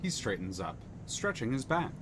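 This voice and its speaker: A man's voice: medium pitch, big pitch swings, normal speed, background noise. A man narrating a story game aloud on a live stream, reading expressively. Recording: music playing under the voice.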